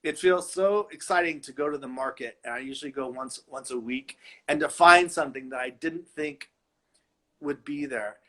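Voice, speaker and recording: A man's voice: mid-range voice, normal speed, fairly bright tone. A middle-aged man from Los Angeles, a designer chatting playfully from his home and laughing often. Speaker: fashion designer guest. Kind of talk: casual video-call chat.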